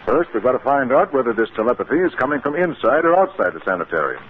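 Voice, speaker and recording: An American actor playing an alarmed, obsessive male psychiatrist in a 1950s radio drama, speaking gravely and urgently. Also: medium pitch, very animated, fast, natural voice. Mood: grim, determined. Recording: scratchy, narrow-band 1950s radio recording.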